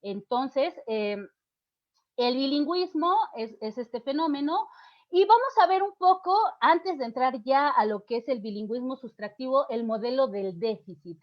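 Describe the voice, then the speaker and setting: A woman's voice: high voice, expressive, moderate pace. Mexican woman teacher lecturing, muffled. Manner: explanatory, poses questions and answers them, frequent fillers and restarts. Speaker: literature teacher giving a lecture. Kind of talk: academic lecture to students.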